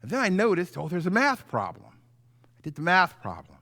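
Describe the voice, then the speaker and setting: Male children's pastor, higher-pitched voice, theatrical story-time delivery with stretched emphasis, long hall reverb. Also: huge pitch swings, sharp tone. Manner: theatrical, drawn-out emphasis on key words. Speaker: pastor telling stories to children. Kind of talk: animated children's story time, sermon-like lesson.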